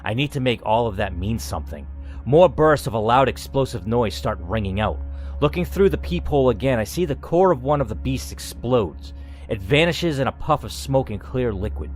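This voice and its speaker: A man's voice: medium pitch, lively intonation, moderate pace, darkish tone. A man reading an interactive story aloud as a let's player, dramatic, with casual asides. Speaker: video game let's player and narrator. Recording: music under the voice. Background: music.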